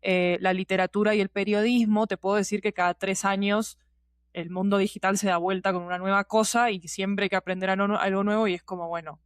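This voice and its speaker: Female podcast guest, mid-pitched, chatty and explanatory with frequent tangents, dry studio-close sound. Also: level tone, fairly quick, smooth, no echo. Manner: chatty, digressive, checking in with listener. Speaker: podcast guest, producer and teacher. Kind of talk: casual explanatory conversation.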